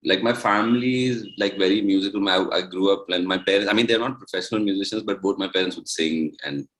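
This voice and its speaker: A man's voice: low-pitched, fast talker, fairly bright tone, poor audio. A young man, a musician guest telling his story in loose, rambling casual chat.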